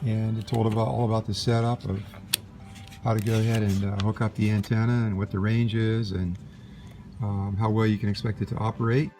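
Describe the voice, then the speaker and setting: A man casually demonstrating a vintage radio in a halting, unhurried way, as a collector explaining his set. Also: lowish voice, level tone. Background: vintage radio playing music and broadcasts.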